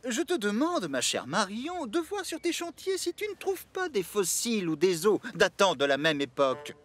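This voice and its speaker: An actor playing a theatrical, enthusiastic gentleman in a children's cartoon, with a grandiose, exclamatory manner. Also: sits high, wildly swooping pitch, normal speed, heavily acted. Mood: coaxing. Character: theatrical, enthusiastic gentleman opening dinosaur park.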